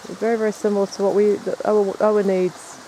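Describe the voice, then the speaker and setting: A man's voice: sits high, little pitch movement, brisk, dark tone, slight background noise, rough recording. A man chatting casually while investigating the woods at night, rambling and explaining as he goes. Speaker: paranormal investigator filming. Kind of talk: casual on-location chat. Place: woods by a stream at night, in rain.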